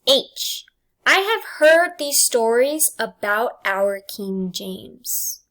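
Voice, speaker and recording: A woman teacher reading test questions and answer choices aloud in a deliberate, enunciated way for students. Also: fairly high pitch, animated, bright, forceful tone. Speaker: English language arts teacher.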